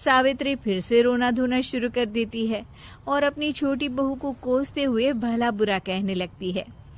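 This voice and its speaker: A woman's voice: a little high, a little slow. A woman storyteller reading scripted narration of a moral family story.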